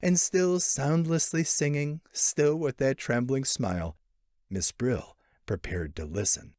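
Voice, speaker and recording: A man narrating a literary short story in an expressive, theatrical way. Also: medium pitch, slow, dry recording, clean studio sound. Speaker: audiobook narrator.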